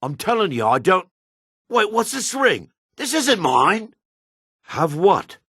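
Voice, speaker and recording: Husky male dark elf, widely swinging pitch. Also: very animated, slow, dry recording, studio quality, exaggerated voice. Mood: indignant. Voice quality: raspy, husky. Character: male dark elf townsman, dry, gruff, changeable. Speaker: video game voice actor. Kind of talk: fantasy video game character lines.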